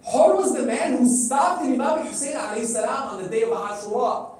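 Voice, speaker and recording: A middle-aged man whose first language is Arabic preaches a sermon from some distance off the mic, his voice ringing through a very echoey hall. He sounds high for a man and mellow, and he keeps putting questions to the crowd and repeating phrases to drive them home. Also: brisk. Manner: direct, questioning the audience, repeating phrases for emphasis. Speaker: speaker at a religious gathering. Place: large hall.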